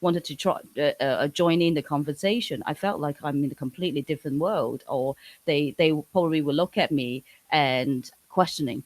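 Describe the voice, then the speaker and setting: A woman from Hong Kong, a native Cantonese speaker with a Hong Kong English accent, talking casually as an interview guest, pausing and restarting often. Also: sits low, dry recording. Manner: hesitant, many restarts and fillers.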